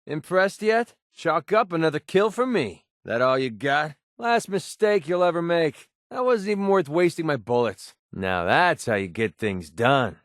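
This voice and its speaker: Game companion role: sardonic young mercenary, cocky and terse, very animated high-pitched delivery. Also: male voice, measured pace, few pauses, dry recording, studio quality. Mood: cocky, satisfied. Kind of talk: video game companion voice lines and combat barks.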